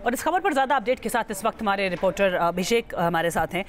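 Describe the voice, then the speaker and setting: A woman's voice: mid-range voice, expressive, quick pace, heavy background noise. A woman presenting the news as an anchor.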